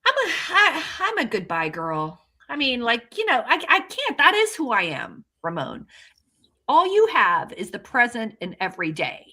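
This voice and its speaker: Woman, sixty-something, bright tone, slightly high voice, chatty motivational co-host. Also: wildly swooping pitch, normal speed. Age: 60s. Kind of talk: casual panel chat.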